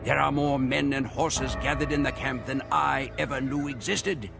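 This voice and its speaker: A man voicing a grim Mongol warrior who narrates the campaign in measured, solemn storytelling for game cutscenes. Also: medium pitch, steady tone, creaky, close to an everyday voice. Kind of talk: scripted dramatic narration. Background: music.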